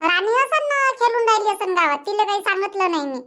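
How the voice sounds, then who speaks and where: A woman's voice: very high-pitched, lively intonation, quick pace, pushed and bright, heavily acted. A woman voicing a stubborn, grumbling young village mother in a cartoon comedy, chattering emphatically with a Vidarbha Marathi accent.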